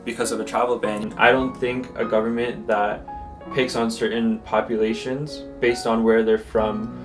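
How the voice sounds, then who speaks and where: A man from Egypt speaks earnestly and in measured terms. His voice is lowish and flat, with guitar music clear behind it. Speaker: resident.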